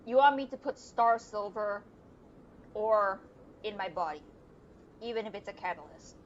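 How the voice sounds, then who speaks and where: A woman streaming a video game with casual, sarcastic, sweary commentary and deadpan jokes. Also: mid-range voice, normal speed, lo-fi sound, a little hiss or hum. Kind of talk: casual live gameplay commentary. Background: video game music.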